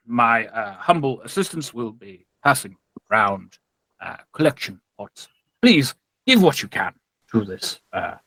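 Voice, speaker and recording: Man putting on a halting, pious village priest. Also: mid-range voice, sharp tone, no echo, exaggerated voice, low-quality recording. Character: humble-sounding village priest addressing his congregation.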